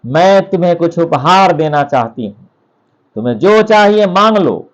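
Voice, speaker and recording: A man's voice: fairly high pitch, lively intonation, moderate pace, muffled recording, lightly acted. A man voicing a grateful, kindly female golden fish queen from a storybook, speaking graciously.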